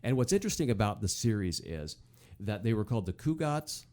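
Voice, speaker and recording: An American man hosting a casual chat show, talking in a halting, conversational way and often repeating words. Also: lowish voice, big pitch swings, darkish tone. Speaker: podcast host. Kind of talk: casual chat about old-time radio.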